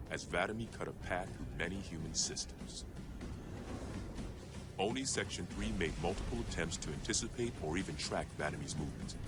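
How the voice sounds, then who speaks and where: A man playing a stern military intelligence officer, reading a formal dossier in a measured, grave manner as scripted game narration. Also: low voice, lightly acted. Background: prominent music bed.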